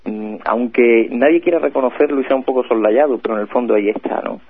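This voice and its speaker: A man's voice: medium pitch, fast. A man with a Spanish accent from Spain, a guest speaking earnestly and hesitantly, often repeating words. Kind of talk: earnest commentary. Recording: husky voice over phone line.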